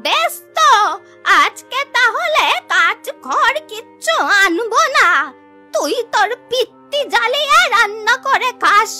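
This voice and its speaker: A woman voicing a chiding, folksy old village grandmother in an animated folk tale. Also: very high-pitched, slow, soft background music, heavily acted. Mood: angry, indignant. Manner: chiding, folksy, proverb-quoting. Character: scolding old village grandmother who begs for food.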